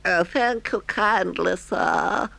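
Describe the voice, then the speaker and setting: A man voicing a sly toad who poses as a meek washerwoman, speaking politely with drawn-out, falsely humble phrasing. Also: high voice, creaky, bright, forceful tone, dry recording, pitched above the performer's own voice, exaggerated voice. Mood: feeble, grateful. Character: male toad posing as meek, feeble old-fashioned washerwoman.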